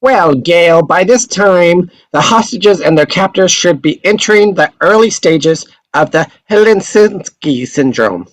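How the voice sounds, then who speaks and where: A man's voice: high-pitched, lively intonation, a little slow, few pauses, no room sound, poor audio. A man reading the part of a self-important TV expert at a table read, with a lecturing, pompous delivery.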